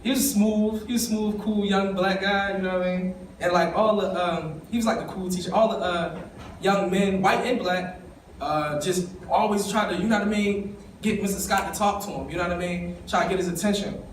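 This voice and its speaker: A man's voice: high-pitched, fairly even intonation, normal speed, slight background noise. A young man from East gives an emotional, conversational talk about his own life and speaks directly to his audience. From East Baltimore. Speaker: summit speaker. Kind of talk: personal storytelling speech. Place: conference hall. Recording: echoey room, voice from a distance.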